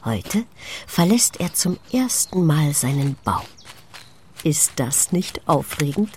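A woman with a low voice narrates a children's nature story. Her delivery is slow and full of wonder, with very lively swings in intonation as she addresses young listeners. Birdsong is audible behind her. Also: slight background noise. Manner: expressive, hushed and wondering, addressing a small child. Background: forest sounds with chirping birds.

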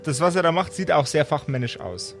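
A man narrating an improvised tabletop role-play as game master, with deliberate, theatrical description. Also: a little high, a bit bright. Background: faint music.

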